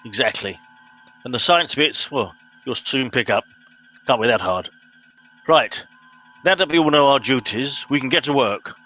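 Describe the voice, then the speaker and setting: A man playing a pompous, bossy middle-aged captain in an amateur comedy radio play, brisk and officious. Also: mid-range voice, expressive, sharp tone, soft background music. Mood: brisk, patronising.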